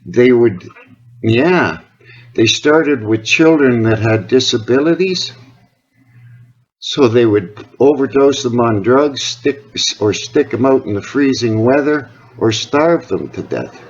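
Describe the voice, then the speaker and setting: A Canadian man talks insistently in a phone conversation. His delivery is earnest and rambling, at a middling pitch, and the recording is somewhat dull and lo-fi. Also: lively intonation, slow pace, husky. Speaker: caller, health awareness advocate.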